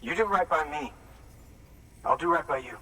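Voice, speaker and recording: Gruff foul-mouthed junk dealer, bitter and suspicious, sharp voice, bit distant w faint noise. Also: male voice, on the high side, expressive, moderate pace. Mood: grudging, demanding. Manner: gruff, confrontational, swearing. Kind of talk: video game cutscene acting.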